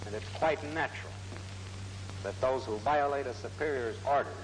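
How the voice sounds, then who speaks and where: A man's voice: mid-range voice, normal speed. A man makes a formal argument as defense counsel in a courtroom, heard on a 1940s archive recording. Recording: reverberant, slightly noisy 1940s courtroom recording.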